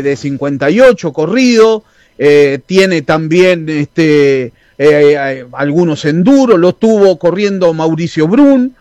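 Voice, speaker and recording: A man's voice: sits high, a little mellow, no room sound. A man with a Uruguayan accent hosting a radio show, chatting casually and reading listener messages with many fillers and restarts. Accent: Uruguayan Spanish. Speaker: radio host.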